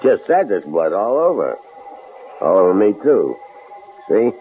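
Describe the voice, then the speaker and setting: A menacing, leering brute with a very low, creaky voice. He needles people in a slow, rough, familiar drawl that swings up and down, on a dull old radio drama recording. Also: big pitch swings, slight background noise. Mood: grim, matter-of-fact. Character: menacing, uncouth bruiser named Jake, a possible killer.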